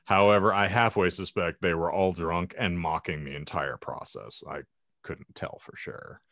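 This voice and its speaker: A man's voice: deep voice, moderate pace, a bit bright, no echo, clean studio sound. A man hosting a podcast panel discussion, posing hypotheticals in elaborate, mock-formal phrasing. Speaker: podcast host.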